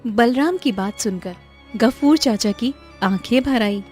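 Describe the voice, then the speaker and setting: A woman's voice: a little high, measured pace, a little mellow. A woman narrator reading a scripted moral story. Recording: faint music behind the voice.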